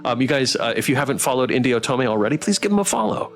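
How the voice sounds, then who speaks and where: A man's voice: mid-range voice, big pitch swings, fast, faint music behind. A man chatting casually as a livestream host, hesitant and restarting his phrases, thanking people appreciatively. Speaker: streamer playing and reading a visual novel aloud.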